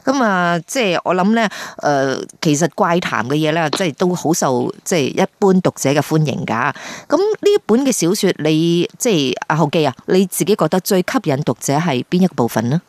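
Female radio host, lower register, close dry studio sound. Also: big pitch swings, moderate pace, hardly any pauses, darkish tone, dry recording. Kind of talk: radio presenting and interviewing.

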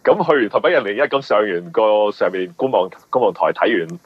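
A man with a Hong Kong Cantonese accent giving conversational interview answers, explaining things haltingly and often repeating words. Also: on the low side, slightly rough, dry recording. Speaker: guest, mystery novelist. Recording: muffled, band-limited radio interview audio.

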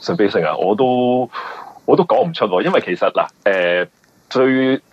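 A man with a Hong Kong Cantonese accent giving conversational interview answers, explaining things haltingly and often repeating words. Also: mid-range voice, sing-song, husky, dry recording. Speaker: guest, mystery novelist. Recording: muffled, band-limited radio interview audio.

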